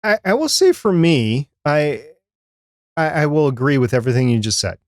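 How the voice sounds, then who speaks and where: A man's voice: a little high, big pitch swings, normal speed, darkish tone, dry recording, studio quality. A man co-hosting a podcast in casual, chatty conversation, repeating phrases for emphasis. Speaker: podcast co-host. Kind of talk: casual chat about podcasting.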